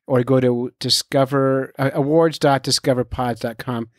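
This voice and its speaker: A man's voice: medium pitch, fairly even intonation, moderate pace, no room sound. Man hosting a podcast, close and dry. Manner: informal, directly urging listeners. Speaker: podcast host. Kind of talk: casual unscripted podcast talk.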